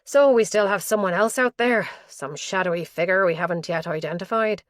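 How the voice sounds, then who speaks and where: A weary male detective from an audiobook thriller, dogged and level, asking his questions in a measured way, as a woman reads him. His tone sits low and the sound is dry and close. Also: dry recording, close to an everyday voice. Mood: grim.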